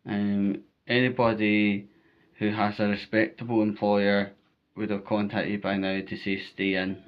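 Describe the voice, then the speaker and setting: Low, even-pitched Scottish man, emphatic. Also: measured pace, clean tone, highs cut off. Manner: emphatic, repetitive, checks in with "right?". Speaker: vlogger giving storm safety advice. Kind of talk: informal spoken advice to viewers.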